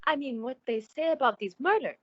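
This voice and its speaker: A woman's voice: high voice, lively intonation, a little fast, no echo, dull audio. A woman playing a genteel, uneasy, concerned friend in an old-time radio suspense drama, speaking politely and hesitantly with theatrical expression. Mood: uneasy curiosity.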